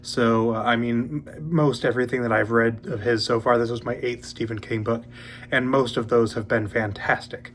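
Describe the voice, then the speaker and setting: A man's voice: mid-range voice, normal speed, a little hiss or hum. Sniffly young man chatting about books. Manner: relaxed, rambling, many ums and uhs. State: sniffly, recovering from a cold. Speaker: book channel host. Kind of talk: casual monthly reading update.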